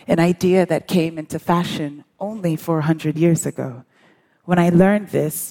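A woman from the United States delivers a prepared talk on stage. Her voice is low and mellow, and she phrases things deliberately, leaving dramatic pauses for weight. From grew up in the United States. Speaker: author, public speaker. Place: on stage at a live conference.

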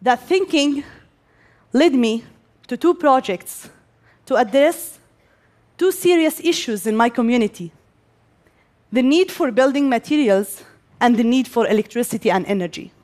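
A young woman from Gaza giving a prepared speech to an audience, speaking as an English speaker with pauses between phrases. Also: on the high side, lively intonation, a little mellow. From Gaza, Palestine. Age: young adult.

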